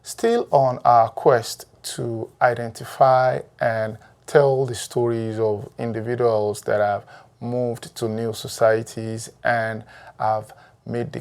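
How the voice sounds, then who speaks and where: Male host narrating a documentary intro, unhurried, mid pitched voice. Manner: steady, read-aloud introduction. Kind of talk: scripted narration.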